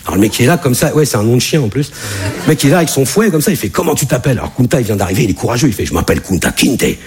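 A man doing a stand-up comedy set on a club stage, animated, with big jumps in voice for punchlines. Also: racing, breathy edge. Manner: animated, jumps high for punchlines and acted-out quotes. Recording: live club audience laughter. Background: audience laughter.

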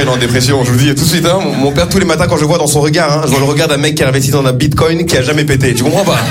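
A man's voice: medium pitch, noisy background. A man doing a stand-up set, self-mocking, with frequent hesitations. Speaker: stand-up comedian.

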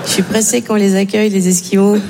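A woman's voice: mid-range voice, level tone, quick pace. A woman performing a stand-up monologue in a sardonic, provocative, conversational way. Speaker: stand-up comedian. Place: stage of a comedy show. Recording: reverberant stage hall with background noise.

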